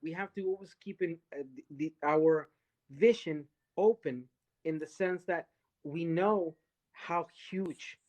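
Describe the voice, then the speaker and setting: A woman's voice: lowish voice, measured pace, a little mellow. A woman from Latin America making an emphatic, lecturing case during a live-stream debate. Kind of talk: impassioned live-stream argument.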